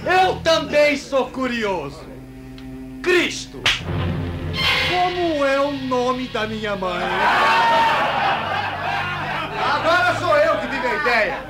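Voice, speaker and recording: Roman soldier, radio drama: gleeful mocker, very high voice, pompous mock-ceremonial delivery. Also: male voice, big pitch swings, slow, loud music behind the voice, a loud crowd, full character voice. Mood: taunting. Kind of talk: old-fashioned radio drama acting.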